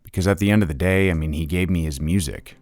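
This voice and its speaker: A man's voice: low-pitched, quick pace, a little mellow. An actor playing a reflective rock podcast interviewer, an admiring fan signing off his show. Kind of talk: acted podcast host monologue.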